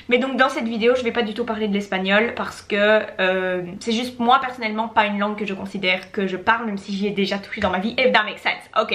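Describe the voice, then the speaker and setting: A woman's voice: medium pitch, fairly bright tone, slight background noise. A young woman vlogging about language learning, talking casually with frequent restarts and slipping into English mid-sentence. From Belgium. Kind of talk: casual talking-head vlog.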